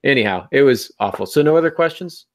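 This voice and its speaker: A man's voice: medium pitch, lively intonation, fast talker. A man hosting a podcast in casual chat, with a wry, anecdotal way of talking. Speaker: podcast host.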